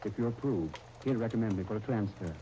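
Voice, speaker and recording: An actor in a 1930s radio drama playing an earnest, entreating young lieutenant, on a noisy old broadcast disc. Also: male voice, lowish voice, fast talker, natural voice. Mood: pleading. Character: eager young British lieutenant, pleading younger brother.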